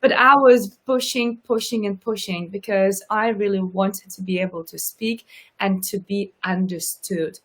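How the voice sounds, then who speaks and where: A woman's voice: medium pitch, fairly even intonation, a little slow, low-quality recording. Emphatic Russian-accented woman host. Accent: subtle Russian. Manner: earnest, emphatic, repeats points for emphasis. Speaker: talk show host and coach. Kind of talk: casual interview chat.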